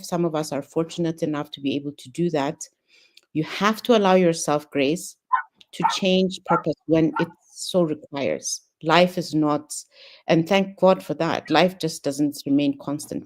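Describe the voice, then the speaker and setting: Low-voiced reflective woman from Ethiopia. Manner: thoughtful, pausing with ums and restarts. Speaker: panel guest. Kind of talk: reflective personal story.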